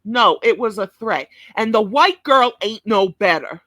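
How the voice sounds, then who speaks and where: A woman's voice: medium pitch, lively intonation, measured pace, fairly bright tone, no echo. A woman giving blunt, scolding commentary on a clip, swearing heavily. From New York. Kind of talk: profane reaction commentary.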